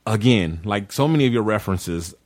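Animated male podcast host, lively pitch swings. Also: fairly quick. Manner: animated, laughs often. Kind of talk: casual group podcast chat.

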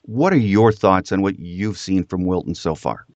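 Deep voiced guy interviewing on a sports podcast, reads out his questions, really dry audio. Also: moderate pace, darkish tone, no room sound, dull audio.

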